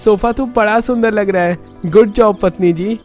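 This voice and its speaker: A man's voice: sits high, normal speed, faint music behind, pitched above the performer's own voice, natural voice. A man voicing an eager, handy young family man in a cartoon story. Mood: pleased.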